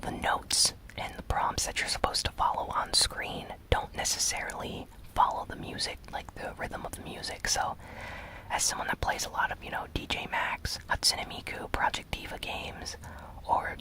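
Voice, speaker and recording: An ASMR gaming news host speaking in a breathy, unvoiced whisper, soft, unhurried and conversational. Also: low-quality recording.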